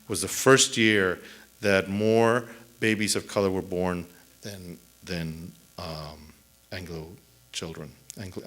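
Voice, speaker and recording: A middle-aged man, a native Spanish speaker, answering audience questions on a theater stage at a lectern mic, thoughtful and halting with many restarts. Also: deep voice, fairly bright tone. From El Paso, Texas. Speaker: author at a book event. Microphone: lectern mic on a stand.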